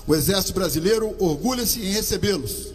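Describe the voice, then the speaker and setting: A man with a Brazilian accent giving a slow, solemn ceremonial speech as an army commander at an outdoor podium microphone. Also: high voice, animated, slightly rough, long reverb tail. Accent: Brazilian Portuguese. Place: outdoor parade-ground stand.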